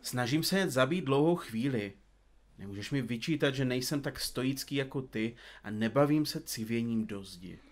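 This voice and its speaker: A man plays a theatrical male detective from a comedy radio play, dictating to his recorder. He is wordy and a little pompous, with a medium-pitched voice, and likes to tease. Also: lively intonation, lightly acted. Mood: playful, mock-sulky. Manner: florid, pompous, teasing. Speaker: detective in a comedy mystery podcast. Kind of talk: audio drama acting with florid narration.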